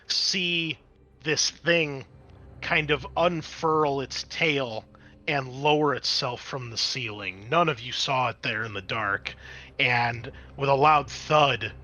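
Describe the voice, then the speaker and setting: Male game master, bright forward voice, dramatic tabletop narration that drops into casual rules explanations. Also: mid-range voice, takes it slowly. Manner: dramatic scene-setting mixed with casual rules talk.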